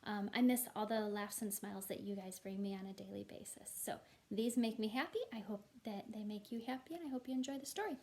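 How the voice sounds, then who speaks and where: A woman's voice: medium pitch, fast talker, hardly any pauses, darkish tone, a little hiss or hum. A woman teacher reading a children's story aloud expressively, with casual, encouraging asides.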